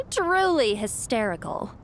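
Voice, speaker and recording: A woman's voice: very high voice, wildly swooping pitch, slow pace, slight background noise, heavily acted. An actress playing an ancient, haughty female spirit in a video game, taunting in a grandiose, gloating manner. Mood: gleeful mockery. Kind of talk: video game character acting.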